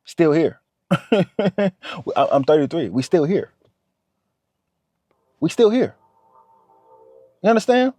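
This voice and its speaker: A man's voice: a little high, mellow, no echo, studio quality. A man, giving a motivational talk to camera. He talks straight to the viewer and repeats his points. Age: 30s. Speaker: vlogger.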